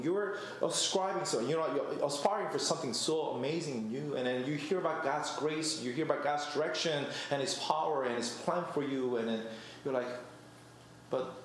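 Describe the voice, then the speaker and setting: A man's voice: a little high, a little hiss or hum. Young male preacher in an echoey room. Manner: chatty, storytelling, laughs at himself. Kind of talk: conversational sermon.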